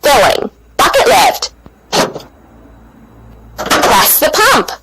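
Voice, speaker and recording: A woman's voice: high-pitched, huge pitch swings, extremely slow. A woman reading scripted narration for a children's cartoon in short, encouraging instructional phrases, with vehicle noise behind her.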